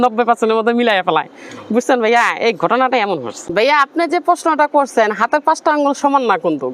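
A woman from Bangladesh, answering interview questions in a rapid, earnest, pleading way. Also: sits high.

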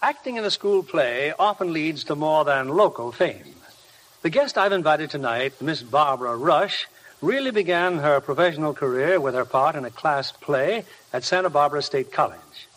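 Higher-pitched American radio host, man. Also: lively intonation, normal speed, a bit bright, rough recording. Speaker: host and announcer. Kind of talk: scripted 1950s radio presenting.

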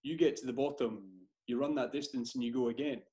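A man's voice: medium pitch, steady tone, fast, warm tone. A man hosting an interview over a video call, asking questions with stumbling restarts. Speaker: podcast host. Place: small home studio room. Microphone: headphones and studio mic on a stand.

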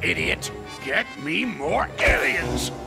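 An actor playing a pompous, irritable villainous scientist who gloats theatrically in an animated game cutscene. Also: male voice, sits high, slow, gravelly, full character voice. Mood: impatient. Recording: loud music behind.

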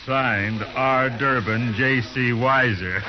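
An actor playing a scornful, hard-nosed trail boss, mocking and curt, in a 1950s radio western. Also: male voice, medium pitch. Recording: dull, hissy 1950s radio recording.

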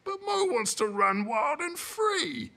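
A man with a New Zealand accent voicing a friendly, childlike cartoon monster, gravelly and hesitant. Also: very high voice, big pitch swings, takes it slowly, sharp tone, exaggerated voice. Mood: hopeful. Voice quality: gravelly, husky. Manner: hesitant, simple, refers to self by name. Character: friendly, wide-eyed furry monster, childlike and eager. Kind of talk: preschool cartoon voice acting.

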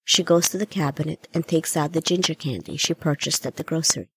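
A woman's voice: sits low, animated, a little fast, gravelly, slightly rough, no echo, poor audio. A woman reading a short story as a scripted narration.

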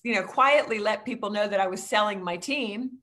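A woman's voice: mid-range voice, brisk, fairly bright tone. Woman, 50s, Philadelphia accent, rambling storyteller, home video-call guest. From Philadelphia area. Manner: chatty storyteller with frequent ums. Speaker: guest, real estate coach. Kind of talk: casual video-call interview. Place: home room, indoors.

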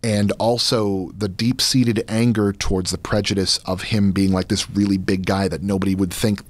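A man's voice: lowish voice, flat delivery, moderate pace. A man in his thirties talking casually as an interview guest, close on a studio microphone. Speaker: podcast guest, voice actor and game master. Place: podcast studio, indoors. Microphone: close studio mic on boom arm, headset monitoring.

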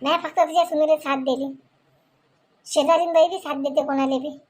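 A woman's voice: high voice, steady tone, fairly quick, darkish tone, lightly acted. A woman voicing a combative, scolding village wife and mother-in-law in a comedy cartoon, with a Varhadi Marathi accent and melodramatic, exclamatory delivery. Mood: defensive. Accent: Varhadi Marathi from Vidarbha.

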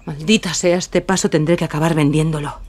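A woman's voice: low-pitched, fairly even intonation, brisk, noisy background, lightly acted. A woman playing a bold, stubborn, plucky young aviator in an audio drama. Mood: irritated, firm.

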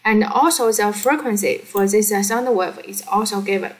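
A woman who is a non-native English speaker explains a worked problem step by step as a teacher, halting and often checking that the learner follows. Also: medium pitch, poor audio. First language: not English. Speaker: physics teacher.

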